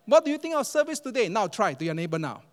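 Singaporean man, pastor, high voice, emphatic animated sermon, long church-hall reverb. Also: fast. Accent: Singaporean English. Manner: animated, emphatic, repeats phrases for effect. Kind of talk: sermon to a congregation. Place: church auditorium.

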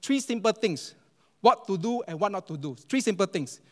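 A man with a Singaporean English accent preaching a sermon as pastor, animated and emphatic, repeating phrases for effect. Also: sits high, moderate pace. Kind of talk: sermon to a congregation. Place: church auditorium. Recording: long reverb of a large church hall.

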